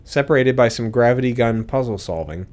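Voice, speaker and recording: A man's voice: medium pitch, lively intonation, a little fast, darkish tone. A man speaking as a game developer, reading scripted commentary.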